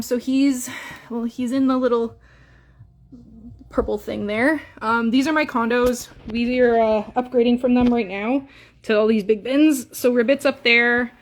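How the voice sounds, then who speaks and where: A young woman with a Canadian accent chatting in a casual live stream, full of fillers and coos. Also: on the high side. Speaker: hamster breeder streaming live.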